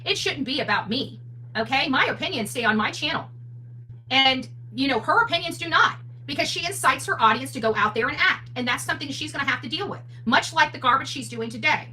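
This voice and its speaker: A woman's voice: sits high, lively intonation, fairly quick, pushed and bright. A woman hosting a livestream commentary, speaking bluntly and combatively to her viewers with emphatic rhetorical questions. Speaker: livestream host, advocate commentator. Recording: distant mic, faint hum noise.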